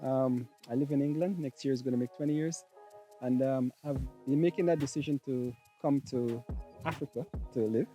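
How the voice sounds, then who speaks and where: A man's voice: medium pitch, moderate pace, warm tone, faint music behind. A man from Guyana, telling stories in a casual chat with many hesitations. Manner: hesitant, many ums, laughs easily. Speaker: guest. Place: outdoors on a farm.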